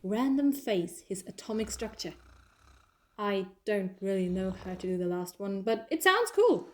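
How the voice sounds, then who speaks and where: Clever, coaxing heroine female performer, mid-pitched, conversational and teasing, for an audio drama about an alien traveller. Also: animated, measured pace, natural voice. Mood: playful, coaxing. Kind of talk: fan audio drama acting.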